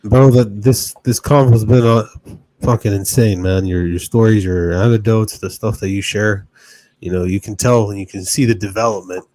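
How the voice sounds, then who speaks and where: A man's voice: fairly low pitch, fairly even intonation, normal speed, mellow, rough recording. A man in his twenties hosting a podcast, asking questions in a casual, friendly, slangy way. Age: 20s. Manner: casual, friendly slang, says bro a lot. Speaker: podcast host. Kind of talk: casual interview.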